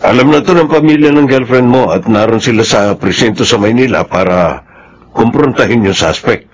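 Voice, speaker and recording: Official-sounding Filipino policeman, medium pitch , acted. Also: moderate pace, natural voice, low-quality recording. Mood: matter-of-fact. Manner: official, matter-of-fact. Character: formal, matter-of-fact male police officer. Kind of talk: Filipino radio drama acting.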